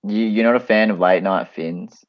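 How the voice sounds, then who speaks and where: A man's voice: low voice, fairly even intonation, moderate pace. An Australian man chatting casually as a participant in a podcast. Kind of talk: casual chat. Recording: muffled, noticeable background noise.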